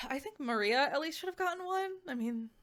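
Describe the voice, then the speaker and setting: A woman's voice: high-pitched, animated, fairly quick, fairly bright tone. A woman giving a casual, chatty game review in an enthusiastic, informal and giggly manner. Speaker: video game reviewer and streamer.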